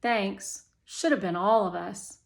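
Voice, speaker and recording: Lady reading as a glum kid girl in a storybook, flat and terse, kinda slow. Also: mid-range voice, creaky. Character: glum, sardonic eleven-year-old girl speaking through typed words.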